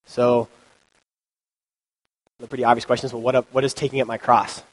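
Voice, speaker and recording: A man's voice: mid-range voice, flat delivery, moderate pace, rough recording. A young man with a cold preaching a casual youth sermon into a microphone, loose and chatty with many ums and likes. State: has a cold, sniffly. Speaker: youth pastor. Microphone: handheld or stand mic.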